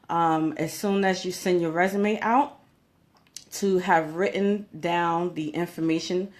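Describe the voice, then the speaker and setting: A woman with a slightly low-pitched voice talks straight to her viewers as a coach. Her delivery is informal and conversational, with emphatic points and small check-ins along the way. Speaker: career coach giving job interview tips. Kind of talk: informal advice vlog, talking to viewers.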